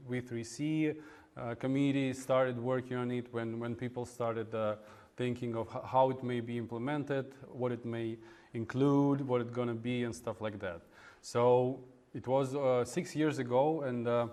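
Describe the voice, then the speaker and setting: A man from Russia with a Russian accent giving an informal technical talk at a meetup, in a halting, hesitant way with frequent pauses. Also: mid-range voice, a little mellow. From Russia (Moscow). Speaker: conference speaker, web developer. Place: indoor meetup room in front of an audience.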